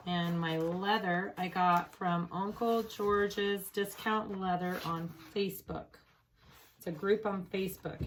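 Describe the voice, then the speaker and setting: A woman's voice: on the low side, slight background noise. Woman with a strained, recovering voice. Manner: chatty and easygoing, thinking aloud while she works. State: voice strained from recent illness. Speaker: sewing tutorial host. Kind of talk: casual step-by-step craft tutorial.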